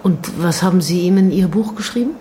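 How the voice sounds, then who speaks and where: A woman's voice: fairly low pitch, a little fast, music in the background, lightly acted. An actress playing an earnest woman in radio drama dialogue, questioning and direct. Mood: curious. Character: probing, earnest woman in conversation with a writer.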